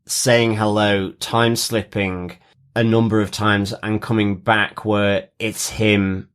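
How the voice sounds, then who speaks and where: A man co-hosting a podcast, casually discussing and reviewing TV in measured, slightly hesitant phrasing. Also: on the low side, level tone, fairly bright tone, clean tone. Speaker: podcast co-host.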